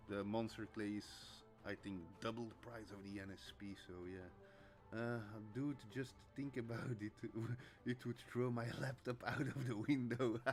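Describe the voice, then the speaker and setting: A man with a Dutch accent, a native Dutch speaker recovering from a flu, chatting casually and chuckling while he streams his mask making. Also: mid-range voice. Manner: relaxed, chuckles often, reads chat aloud. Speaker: cosplay mask maker streaming. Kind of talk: casual livestream chat while crafting. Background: faint music.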